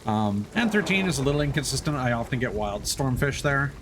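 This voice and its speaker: A man's voice: mid-range voice, very animated, a little fast, noisy background. A man casually commenting on his gameplay as a streamer, chatting through tips with many fillers.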